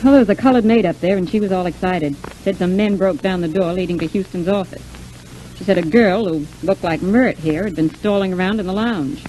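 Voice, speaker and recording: A woman's voice: fairly low pitch, fairly quick, dark tone, faint music behind, lightly acted. An actress playing a brash, defensive, scheming young showgirl in a 1940s radio serial. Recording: noisy old 1940s radio recording.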